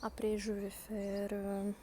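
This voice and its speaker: A woman's voice: medium pitch, completely flat delivery, slow, warm tone, slight background noise. A woman whose first language is Italian, leading a workshop and demonstrating an app step by step, narrating each click with small hesitations. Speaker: workshop leader teaching learners.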